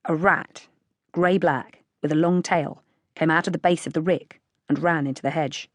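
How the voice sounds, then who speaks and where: A British woman reads a short story in measured literary narration. Her voice sits a little low for a woman, and the recording is dry and close. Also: steady tone, dry recording. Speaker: audiobook narrator.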